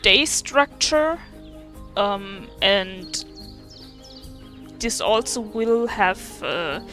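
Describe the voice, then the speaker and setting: A woman gamer with a German accent, not a native English speaker, talks casually over her gameplay. Her delivery is slow, halting and self-deprecating, often searching for the right word. Soft music sits faintly in the background. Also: medium pitch, lively intonation. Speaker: gaming video creator and streamer. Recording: faint game music behind.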